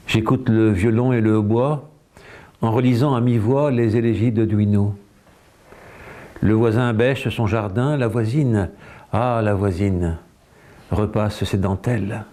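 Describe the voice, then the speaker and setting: A man reads poetry in a fairly low, dark and mellow voice. His delivery is very slow and measured, with short phrases and clear pauses. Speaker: poetry reader. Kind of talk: quiet poetry recitation.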